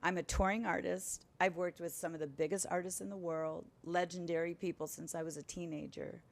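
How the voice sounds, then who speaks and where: A middle-aged woman sits in as a guest and talks in a casual, emphatic way, with a lively push behind her points. Her voice sits a little low for a woman. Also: brisk. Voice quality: powerful voice. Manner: animated, emphatic storytelling. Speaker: singer and entertainer, guest. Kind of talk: casual interview chat.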